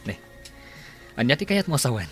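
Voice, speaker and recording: A man's voice: mid-range voice, big pitch swings, a little fast, faint music behind, lightly acted. An actor with an Ilocano accent playing a secretive, evasive young businessman, earnest and placating, in a radio drama. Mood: irritated.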